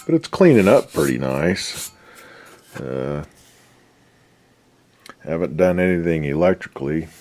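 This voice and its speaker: A man giving a casual, unscripted workbench demonstration in a laid-back, folksy, halting way, often trailing off. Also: a bass voice, darkish tone. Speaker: radio restoration hobbyist presenting a repair update.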